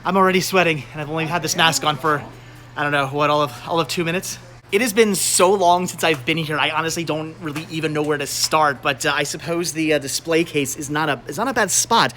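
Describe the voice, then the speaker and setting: A man's voice: on the high side, brisk, bright, forceful tone. An American man hosting a casual shopping vlog, chatting to viewers and often repeating his words as he goes. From United States. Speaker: host of a movie-collecting video channel. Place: on location at a flea market and store.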